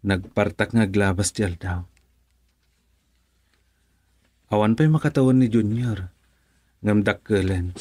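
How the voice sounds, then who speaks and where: A man's voice: lowish voice, a little mellow, husky. An actor with an Ilocano accent playing a patient, easygoing young father and husband in a radio drama. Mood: musing.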